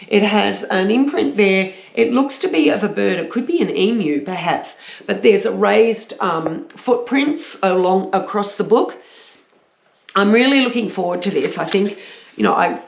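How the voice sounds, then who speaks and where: A woman with a croaky voice, chatting casually and a little hesitantly as a book reviewer. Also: mid-range voice. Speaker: book reviewer, vlog host. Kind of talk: casual book chat with read-aloud blurbs. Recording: roomy, echoey sound.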